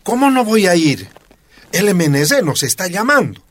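A man's voice: high voice, sing-song, normal speed. A man playing a determined, tender miner father in a radio drama, earnest and affectionate. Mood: solemn, tender.

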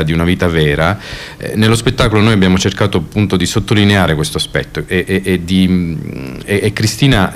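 Male director presenting a play, deep voice, explanatory delivery with hesitant, repeated words. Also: slight background noise. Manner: halting, searching for words, repeating himself. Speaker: theatre director introducing excerpts. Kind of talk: spoken introduction to a radio play.

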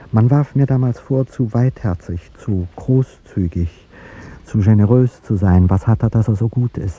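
An actor playing an aging, courtly statesman in a 1950s radio drama, speaking in a reflective, halting, wistful way. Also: low voice, gravelly, dark tone, husky, full character voice. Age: older. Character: aging male statesman, wistful, courtly, devoted to younger woman. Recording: dull, noisy 1950s radio recording.

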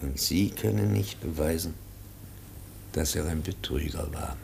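An actor playing a solemn, fatherly professor in a German radio drama, gravelly and measured, with a pious manner. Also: very deep voice, a little mellow, a little hiss or hum, exaggerated voice. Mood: grave, mournful. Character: grave, fatherly professor comforting a dying man. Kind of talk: German radio play acting.

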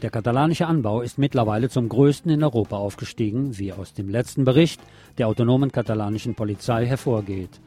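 A man delivers a scripted news magazine report in a mellow, relaxed voice at a medium pitch. His reading has a wry, colloquial edge, with faint music underneath. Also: fast talker, husky. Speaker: radio news presenter.

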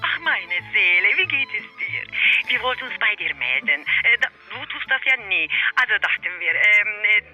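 A woman's voice: mid-range voice, sing-song, moderate pace. An actress playing a doting, fussy older mother who gushes and frets, heard through a telephone filter in a radio play. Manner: gushing, fussing. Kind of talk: radio drama phone-call dialogue. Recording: dull phone-line sound, faint music.

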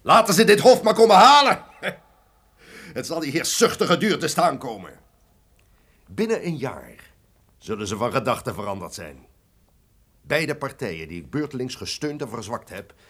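Imperious warlord with wildly swinging delivery. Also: male voice, mid-range voice, very animated, moderate pace, pauses often, fairly bright tone, breathy edge. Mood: proud, defiant. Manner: imperious, declamatory. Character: ambitious, boastful male castle lord and mercenary captain. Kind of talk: theatrical Dutch radio drama acting.

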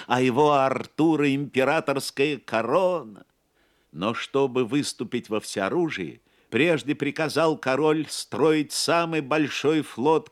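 A man narrating a legend as a dramatic scripted story for an audio play. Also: medium pitch.

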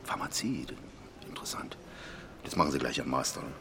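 An actor playing a middle-aged conservative politician in a radio drama, reserved and courteous, speaking in formal, hesitant phrases. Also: male voice, a bass voice, very animated, a little fast, in a whisper, heavily acted. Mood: courteous, probing. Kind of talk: naturalistic radio drama dialogue.

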